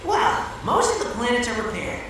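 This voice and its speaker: A woman's voice: fairly high pitch, huge pitch swings, moderate pace, noisy background. A woman voicing a perky, chatty, friendly robot caretaker in a video game, with chirpy informal speech. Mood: perky, kindly. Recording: echoing space under loud music.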